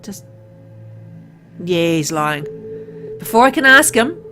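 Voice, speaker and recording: A woman's voice: fairly low pitch, sing-song, slow pace, sharp tone, soft background music. A woman reading a visual novel aloud in a let's play style, dropping in casual, jokey asides.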